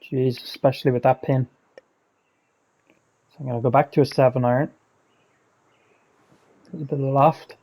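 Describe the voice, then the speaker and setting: A man narrates his golf game at a desk mic, speaking in a flat, mellow tone. He keeps up a chatty, self-critical play-by-play while sounding a little hoarse, as if he is losing his voice. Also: medium pitch, normal speed, pauses often. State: losing his voice, clearing throat. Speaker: gaming channel host. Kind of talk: gameplay commentary.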